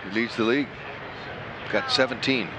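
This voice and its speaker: A man's voice: medium pitch, normal speed, noisy background. A man giving baseball color commentary in a folksy way, often stopping and restarting his sentences. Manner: folksy, animated, with repeated restarts.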